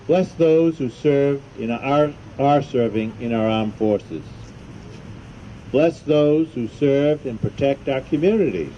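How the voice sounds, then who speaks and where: A man leading a prayer at an outdoor ceremony, reciting a solemn blessing in measured phrases with deliberate pauses. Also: mid-range voice. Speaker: service officer leading a prayer. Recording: dull, muffled sound with faint noise.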